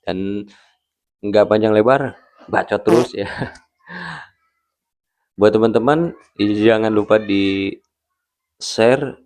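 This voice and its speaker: A man in his early thirties talking casually to camera as a vlogger, in a halting way with frequent tag words at the ends of phrases. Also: fairly low pitch, a little mellow. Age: early 30s.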